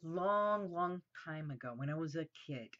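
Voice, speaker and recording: A woman in her fifties, originally from Iran with Armenian as her first language, hosting a reflective talk to camera from a small room at home and letting her phrases trail off softly. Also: low voice, animated, muffled recording. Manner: soft and slow, trails off at phrase ends. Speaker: host.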